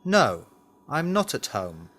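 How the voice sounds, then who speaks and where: A man's voice: a little high, wildly swooping pitch, takes it slowly, fairly bright tone. A man reading a scripted language lesson, carefully enunciating model words and sentences. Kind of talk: scripted language drill, read aloud.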